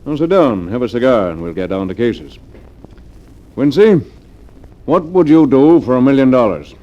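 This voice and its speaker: An actor playing a domineering, scheming wealthy fugitive in middle age, brusque and commanding, in 1940s radio drama style. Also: male voice, medium pitch, animated, normal speed, mellow, natural voice. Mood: brisk, commanding. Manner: brusque, commanding, self-assured. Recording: dull, lo-fi 1940s radio recording.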